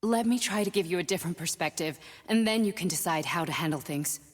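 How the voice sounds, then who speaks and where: A woman's voice: lowish voice, quick pace, long reverb tail, close to an everyday voice. An actress playing an earnest, defiant woman scientist in a video game, speaking persuasively. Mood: earnest, urgent. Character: earnest, urgent female scientist, a defiant doctor. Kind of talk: scripted video game dialogue.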